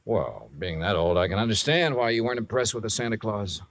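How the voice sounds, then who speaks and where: An actor playing a kindly, wry investigator in a 1950s radio drama, coaxing gently and narrating in an understated way. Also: male voice, lowish voice, expressive, a little fast. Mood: gentle, interested. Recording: vintage 1950s radio recording.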